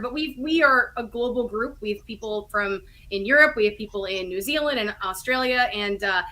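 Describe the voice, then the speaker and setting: Bright, high-voiced woman, chatty guest. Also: a little hiss or hum, poor audio. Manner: chatty, enthusiastic explaining. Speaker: guest, audio drama writer and co-creator. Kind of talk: casual interview talk.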